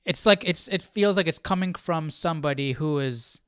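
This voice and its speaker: Male Bible study streamer. Smooth, slightly high voice, halting casual commentary, close dry mic. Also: fairly bright tone, no echo. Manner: halting, thinking aloud. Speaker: Bible study livestream host.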